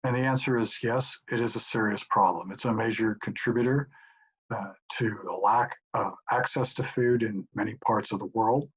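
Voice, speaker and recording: A middle-aged professor calmly explaining his answers to questions sent in by an audience. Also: lowish voice, breathy edge. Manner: measured, explanatory. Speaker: professor and institute director. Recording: dull lo-fi home recording.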